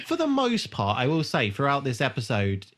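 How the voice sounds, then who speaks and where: A man with a mid-pitched voice co-hosts a podcast in casual conversation. He is jokey and swears freely as he recaps a television episode. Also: huge pitch swings, moderate pace, fairly bright tone. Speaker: podcast co-host.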